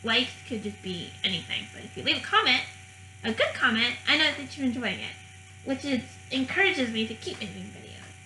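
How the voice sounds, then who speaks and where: A young woman chats to her viewers in a loose, rambling way, with frequent restarts and fillers. Her voice sits a little high and sounds bright. The room is echoey, with a faint background hiss. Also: sing-song, brisk. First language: French. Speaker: vlogger. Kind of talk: casual talking-head vlog.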